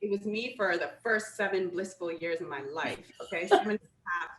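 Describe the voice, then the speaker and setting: A woman being interviewed as a guest, telling lively stories and laughing often. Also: on the low side, fairly quick. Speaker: guest, business attorney. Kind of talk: casual interview chat. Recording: roomy with faint ambience.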